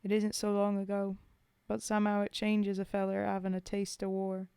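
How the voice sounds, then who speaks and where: A rough, sentimental common soldier from the trenches, read aloud by a woman, speaks his mourning in folksy working-class dialect. The delivery is slow and even, with a mellow, smooth tone and a dry, close sound. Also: medium pitch, no echo, lightly acted. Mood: wistful. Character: rough common male British WWI soldier, grieving comrade. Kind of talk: poetry reading.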